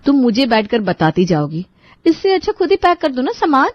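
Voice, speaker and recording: A woman's voice: high voice, big pitch swings, a little fast, dry recording, dull audio. A woman playing a resentful, put-upon young sister-in-law in a dramatized family story, sarcastic and grudgingly polite. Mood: exasperated. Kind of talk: dramatized storytelling with asides to listeners.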